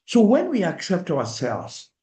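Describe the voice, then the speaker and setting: A middle-aged man with a Kenyan accent talks earnestly and directly to a community gathering, slipping into first-person examples. His voice is mellow and sits a little high. The sound carries the echo of a roomy hall. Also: huge pitch swings, unhurried. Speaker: speaker at a diaspora awareness event. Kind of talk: public talk. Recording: echoey room.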